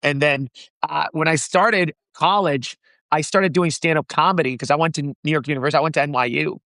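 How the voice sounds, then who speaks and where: A young man from the Dominican Republic, a founder being interviewed, talking in an animated way with frequent laughs and restarts. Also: fairly high pitch, fairly quick, no echo. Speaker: startup founder, guest. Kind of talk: casual interview chat.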